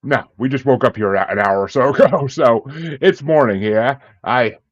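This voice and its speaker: A man's voice: mid-range voice, moderate pace, no echo. A man hosting a podcast interview, chatty and jokey, laughing between lines over a video call. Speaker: podcast host. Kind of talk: casual interview chat. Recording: dull dry lo-fi sound.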